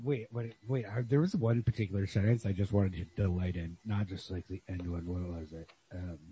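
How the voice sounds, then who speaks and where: A man co-hosting a podcast, talking casually and analytically about literature in a wry, husky voice. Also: sits low, steady tone, brisk, warm tone. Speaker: podcast co-host. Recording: lo-fi, dry close recording.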